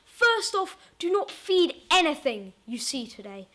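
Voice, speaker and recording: High, bright woman giving safety announcement. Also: sing-song, a little slow. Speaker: presenter of a mock safety video.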